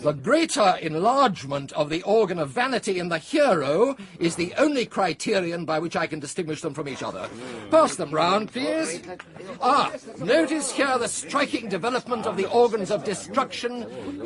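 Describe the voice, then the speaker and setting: A man's voice: sits high, sing-song, normal speed, crowd noise. An actor playing a pompous male phrenologist delivering a lecture in a radio drama, with a theatrical delivery. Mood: lecturing. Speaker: lecturer at a dinner party. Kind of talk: 1980s radio drama acting.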